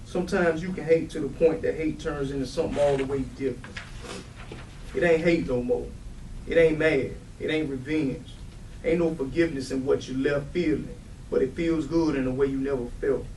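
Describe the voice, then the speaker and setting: An actor in a staged play reading, playing a weary, protective older brother who is quietly resolute and plain-spoken. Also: male voice, mid-range voice, fairly even intonation, moderate pace, close to an everyday voice. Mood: earnest, resolute. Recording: roomy, distant mic, faint background noise.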